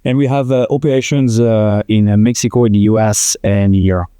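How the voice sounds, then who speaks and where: A middle-aged man with a French accent speaks in a low, mellow voice, pausing often with "uh" as he searches for words in English. The sound is dry and close, with no room around it. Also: fairly even intonation, smooth, dry recording. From France. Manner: hesitant, many "uh" fillers. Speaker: company president, guest. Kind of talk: interview conversation.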